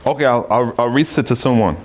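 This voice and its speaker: A man's voice: mid-range voice, fast, slight background noise. A poet from Trinidad with a Trinidadian accent, chatting loosely with many tag phrases and reading his own poetry.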